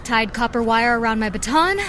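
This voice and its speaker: An actress playing a brave, wisecracking young nurse turned vigilante in an audio drama, sassy and wry. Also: female voice, a little high, fairly quick, fairly bright tone, a little hiss or hum, lightly acted.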